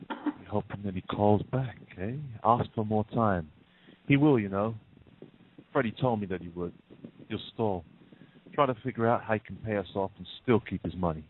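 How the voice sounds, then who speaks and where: A man plays a nervy, bullying male kidnapper in an audio drama. He sneers and threatens in a middling-low man's voice. The recording is thin like a phone call, with some noise and clicks. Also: moderate pace, close to an everyday voice. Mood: taunting. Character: menacing, volatile kidnapper, nervous and bullying man. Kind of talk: radio-play style acting. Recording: noisy, tinny phone-like line with clicks.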